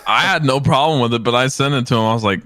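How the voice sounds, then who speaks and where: A man chats on a podcast in a bright, forward voice of medium pitch. His delivery is casual but halting, circling back as he carefully qualifies his points. The sound is close and dry. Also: no room sound. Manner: halting, hedged explanations with repeated starts.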